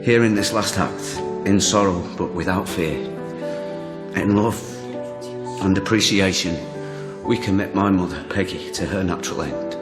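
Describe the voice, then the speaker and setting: Very deep-voiced, restrained grieving son. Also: male voice, a little slow, over loud music. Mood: solemn. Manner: restrained, terse. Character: subdued grieving son, guarded and loyal to mother. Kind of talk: naturalistic radio drama acting.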